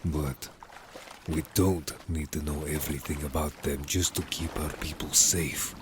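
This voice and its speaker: A man's voice: a bass voice, fairly even intonation, measured pace, background noise. A man playing a stern, wary merman in an audio story, curt and grave, distrustful of humans. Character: stern, wary, disapproving merman information gatherer. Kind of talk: audio story character acting.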